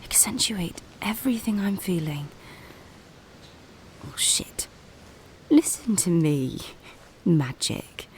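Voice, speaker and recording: A woman's voice: fairly low pitch, expressive, slow, long pauses, a little mellow. An actress playing an unsettled woman of science in an audio drama, murmuring breathily and mocking herself. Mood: unsettled, self-mocking. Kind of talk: audio drama inner monologue. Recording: faint noise and ambience.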